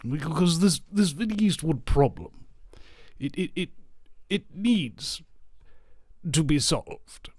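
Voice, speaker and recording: A man voicing a sinister male globalist schemer who whispers in a hushed, plotting way. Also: on the high side, lively intonation, slow, pauses often, no echo. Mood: scheming.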